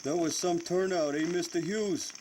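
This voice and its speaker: A friendly working-class janitor , voiced by a man, eager and respectful toward his boss. It is acted like 1940s radio drama, with clear sizzling noise in the recording. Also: high-pitched, moderate pace, darkish tone, natural voice. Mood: cheerful, deferential. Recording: noisy recording with sizzling hiss.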